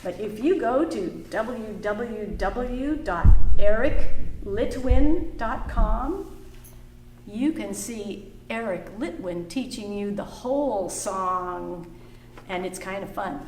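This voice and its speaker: A woman reading a picture book aloud to small children at a library storytime, singing the refrains. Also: medium pitch. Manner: slow, singsong, animated for small children. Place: library room. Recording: echoey room, faint background noise.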